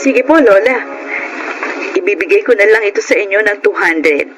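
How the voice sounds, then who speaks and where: A man's voice: high-pitched, lively intonation, moderate pace, over loud music, low-quality recording. An actor playing a chatty, nosy female neighbor in an audio drama, curious and gossipy. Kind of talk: Filipino audio drama acting.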